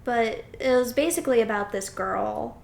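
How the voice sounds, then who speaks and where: Higher-voiced young woman, echoey room. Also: moderate pace, gravelly. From southern United States. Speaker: podcast co-host. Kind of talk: casual comedic chat. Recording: roomy echo, faint background noise.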